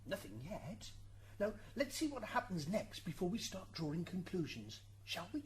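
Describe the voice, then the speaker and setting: A woman's voice: on the low side, big pitch swings, moderate pace, a little hiss or hum. A woman playing a flustered, wheedling defence lawyer in an amateur radio drama. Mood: cagey. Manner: hesitant, leading questions.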